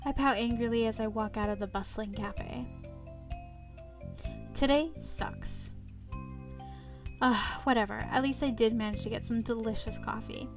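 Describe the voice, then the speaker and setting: A woman reading a first-person romance story aloud in an expressive, playful manner. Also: on the high side, fairly quick, few pauses. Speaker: visual novel reader. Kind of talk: first-person narration with live asides. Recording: loud music behind the voice. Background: loud music.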